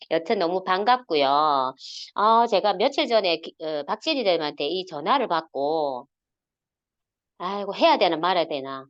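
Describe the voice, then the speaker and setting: A woman in her forties with a Gyeongsang accent, giving a halting, filler-heavy guest talk over a video call. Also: lo-fi sound. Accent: Gyeongsang Korean. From South Korea, Gyeongsang region. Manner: halting, many fillers, lively pitch swings. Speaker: guest speaker.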